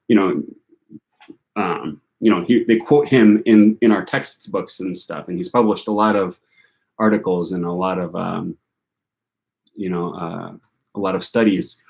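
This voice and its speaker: Young male host, northern US accent, low-ish voice, loose chatty delivery with lots of fillers and self-corrections. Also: fairly even intonation, normal speed, creaky, a little mellow. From United States. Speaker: language learning channel host. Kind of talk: casual answer to viewer questions.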